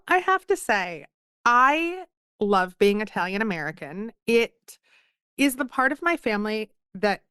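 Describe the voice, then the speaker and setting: A chatty woman in her thirties tells her stories with self-deprecating humor. Her voice is smooth and clear, and it sits dry and close to the mic. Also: mid-range voice, fairly bright tone, no echo. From Philadelphia. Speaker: author guest. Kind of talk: casual podcast interview.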